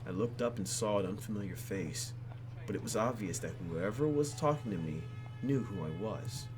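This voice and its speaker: A man's voice: on the low side, lively intonation, moderate pace, music in the background. A man reading a video game's story aloud in a playful, theatrical way, breaking off for asides as he hosts. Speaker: let's play host reading a game aloud. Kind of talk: expressive reading of game narration with asides.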